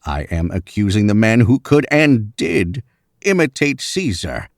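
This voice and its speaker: An actor playing a shrewd older professor, speaking with pompous, deliberate emphasis in 1940s radio mystery style. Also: male voice, lowish voice, big pitch swings, creaky, no echo, clean studio sound, exaggerated voice. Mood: dramatic, accusing. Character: shrewd, theatrical older professor, father unmasking a killer.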